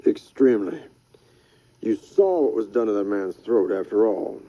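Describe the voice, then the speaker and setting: A man voicing a formal, soft-spoken man who speaks gravely and with measured politeness. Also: fairly low pitch, animated, no echo, dull audio, lightly acted.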